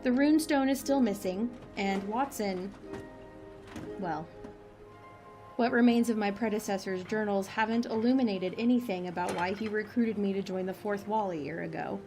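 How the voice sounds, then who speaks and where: A woman's voice: medium pitch, normal speed, over music, natural voice. An actress playing a dry, exasperated woman director in a comic audio drama, with a wry, officious manner. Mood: uneasy, reflective.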